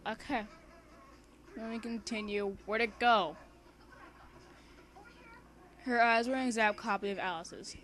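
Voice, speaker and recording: A young woman reads a story aloud in a casual, homemade way. She has a medium-pitched voice and an excitable, giggly manner, and she drifts easily between the text and chatty asides. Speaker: amateur fan fiction reader and co-host.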